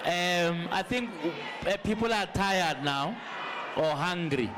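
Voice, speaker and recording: A man's voice: high-pitched, animated, takes it slowly. A man moderating a town hall, hesitantly appealing for order. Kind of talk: moderating a public discussion. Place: large hall at a public town hall. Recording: noisy hall with loud crowd. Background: restless audience noise.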